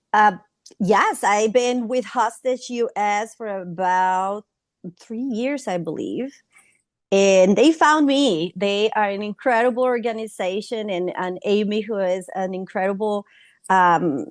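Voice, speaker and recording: A woman therapist from Colombia with a Colombian Spanish accent, a native Spanish speaker, talking in a halting way with fillers in an interview. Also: medium pitch, dry recording, clean studio sound. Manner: warm, halting, many fillers and restarts. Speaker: trauma therapist guest. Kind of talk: conversational interview.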